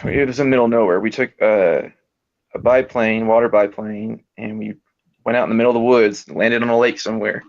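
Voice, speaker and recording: A man's voice: on the low side, fairly even intonation, fairly quick, creaky. A man from Colorado chatting casually in a group, easygoing and a bit rambling. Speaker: chat participant. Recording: dull lo-fi recording.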